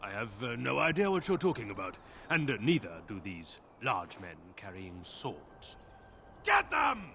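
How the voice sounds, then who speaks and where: Shady fantasy game merchant, theatrical pious patter, animated intonation, forceful bright tone, faint background noise. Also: male voice, mid-range voice, unhurried, heavily acted. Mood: slyly menacing. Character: shady male travelling merchant luring victims into ambush. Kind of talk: fantasy video game dialogue.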